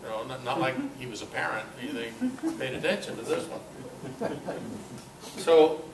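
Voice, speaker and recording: An older man giving an informal, halting talk to a club, with a self-deprecating, dryly humorous manner. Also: medium pitch, big pitch swings, vocal fry. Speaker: guest speaker at a club meeting. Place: club meeting room, indoors. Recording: roomy, distant mic, noisy amateur recording.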